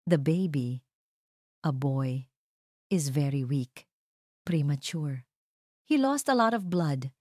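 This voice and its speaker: A woman voicing a serious, measured male doctor giving urgent news in an audio drama. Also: sits low, expressive, a little mellow, no room sound, studio quality, full character voice. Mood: grave, urgent.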